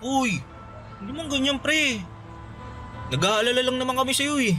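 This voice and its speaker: A man's voice: very high-pitched, moderate pace, pushed and bright, soft background music. An actor playing a concerned young boy who keeps the peace in a radio drama. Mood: concerned, placating. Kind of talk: Tagalog radio drama acting.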